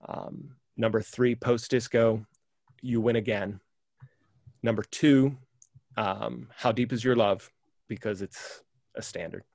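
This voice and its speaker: A man's voice: fairly low pitch, steady tone, slow, creaky, pauses often, a little mellow, no room sound, studio quality. A man hosting a casual music podcast, rambling enthusiastically with lots of fillers. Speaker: podcast host.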